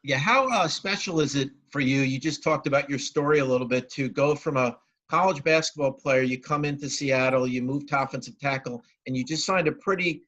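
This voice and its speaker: A man's voice: medium pitch, brisk, a bit bright. A man working as a sports reporter, asking questions on a press conference call with muffled sound. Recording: dull, band-limited call audio.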